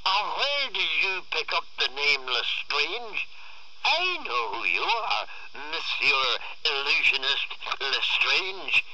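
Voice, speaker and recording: A man voicing a drunken, belligerent father who taunts in a drawn-out roar, in a storytelling reading. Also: fairly high pitch, big pitch swings, bright, forceful tone, dry recording, muffled recording, voice pushed up, heavily acted. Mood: roaring, taunting. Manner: roaring, taunting, drawn-out delivery.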